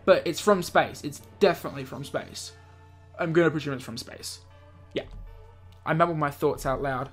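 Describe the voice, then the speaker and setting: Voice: medium pitch, moderate pace, faint music behind. A video game host reading a visual novel aloud, stumbling over words and dropping in jokey offhand comments. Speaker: let's play video host.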